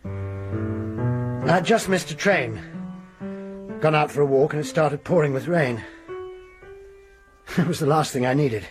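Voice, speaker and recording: A man's voice: fairly high pitch, slow pace, long pauses, music in the background. An actor playing a guilt-ridden married man who confesses in a hesitant, defensive way in a radio drama. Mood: guilty, defensive.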